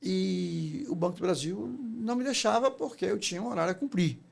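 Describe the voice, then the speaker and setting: A man's voice: sits high, a little fast, vocal fry. A Brazilian man speaking as an interview guest and public speaking teacher, telling stories in an emphatic, didactic way and often checking for agreement. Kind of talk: conversational storytelling in an interview.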